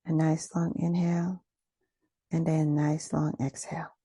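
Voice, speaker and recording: A woman leading a guided meditation, giving gentle, soothing instructions with unhurried phrasing and pauses. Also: low-pitched, little pitch movement, husky, no room sound, clean studio sound.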